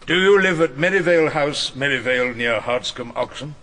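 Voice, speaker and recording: An actor playing a wry, irascible veteran English barrister, narrating and questioning witnesses in a dry, theatrical radio drama manner. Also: male voice, mid-range voice, fairly quick, a bit bright, a little hiss or hum, lightly acted. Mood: probing.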